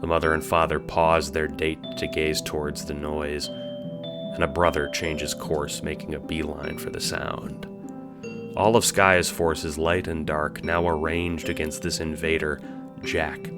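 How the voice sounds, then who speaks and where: A man performs a sly puppet-master of a storyteller in an audio drama, with a very deep male voice. The delivery is theatrical and knowing, made to toy with whoever listens. Music plays clearly underneath. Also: little pitch movement, measured pace. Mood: grave, ominous. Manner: teasing, mock-cheerful, swooping between hushes and exclamations.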